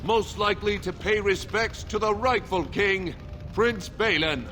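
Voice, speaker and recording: A man's voice: sits high, measured pace, pushed and bright. A man playing a dwarven town crier in a video game, proclaiming the political news in a declamatory way. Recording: long reverb, faint background noise.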